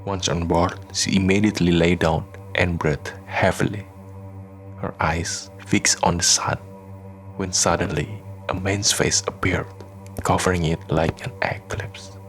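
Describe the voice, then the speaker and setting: A man narrating a scripted radio drama in measured, dramatic short phrases. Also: low voice, steady tone, soft background music.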